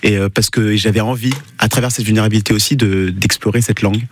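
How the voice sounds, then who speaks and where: A man's voice: on the low side, fairly even intonation, fast, a little hiss or hum. A man whose first language is Portuguese, a musician guest in a radio interview, thoughtful and searching for words with many fillers.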